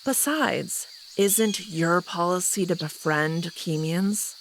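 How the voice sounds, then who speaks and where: A woman's voice: fairly low pitch, slow, a bit bright, slight background noise. A woman playing a haughty, teasing female alien wolf noble in a visual novel, arch, composed and dismissive. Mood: exasperated.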